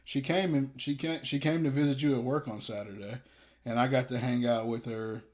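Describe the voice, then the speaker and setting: A man's voice: medium pitch, steady tone, fairly quick, a little mellow. A young man co-hosting a podcast, talking casually in a rambling, hesitant way with self-deprecating jokes. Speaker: podcast co-host. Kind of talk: casual chat.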